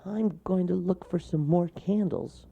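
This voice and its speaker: A man's voice: high voice, a little slow, creaky, warm tone, breathy edge, voice pushed up, full character voice. A man voicing a nervous, trembling little male mole in a storybook, stammering as he talks. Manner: stammering, jittery.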